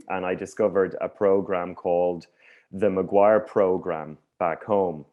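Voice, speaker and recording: An Irish man who stutters, hosting a workshop presentation in a measured way with slight blocks on some words. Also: low-pitched, darkish tone. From Ireland. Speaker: workshop host. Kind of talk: conference presentation. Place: video call.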